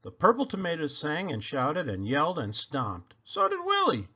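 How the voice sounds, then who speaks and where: A man reading a children's book aloud with expressive, emphatic delivery. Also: fairly high pitch, moderate pace, husky, highs cut off. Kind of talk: animated storybook read-aloud narration.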